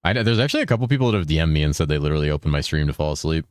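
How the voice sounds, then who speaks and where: A young man streaming a video game, chatting casually with his viewers in a relaxed, rambling way, with a deep voice he holds slightly higher than its natural pitch. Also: racing, no echo, clean studio sound. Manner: laid-back, rambling, swears a lot, reads chat aloud. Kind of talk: casual live-stream chat while gaming.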